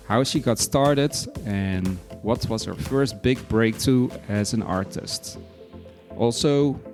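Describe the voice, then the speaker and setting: Gravelly, upbeat podcast host man. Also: fairly low pitch, darkish tone. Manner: upbeat, leans on "well" and "amazing". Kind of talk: podcast intro and interview.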